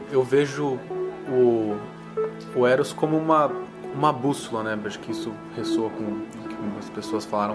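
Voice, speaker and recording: Low-voiced young man in his twenties. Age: 20s. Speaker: radio program guest.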